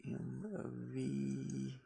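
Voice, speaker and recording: A man's voice: medium pitch, monotone, extremely slow, creaky, warm tone, slightly rough, no room sound. A man chatting casually and unscripted on a livestream, rambling and muttering to himself in folksy, colloquial speech. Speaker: livestreaming gamer and hobby game developer living in a van. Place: inside a van.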